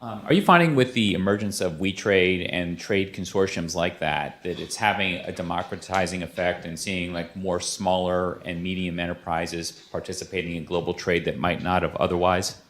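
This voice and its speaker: Deep-voiced man asking from the floor. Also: moderate pace, hardly any pauses, slight background noise. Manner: loud, hesitant start then steady. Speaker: audience member asking a question. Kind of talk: question from the floor. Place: conference hall. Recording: echoey hall with faint clicks.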